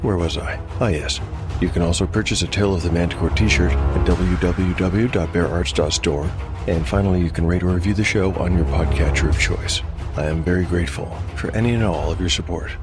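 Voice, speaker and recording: A man's voice: low voice, a little fast. A man hosting and narrating a fantasy game audio drama in a scripted storytelling style. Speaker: podcast host, game master and narrator. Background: music bed.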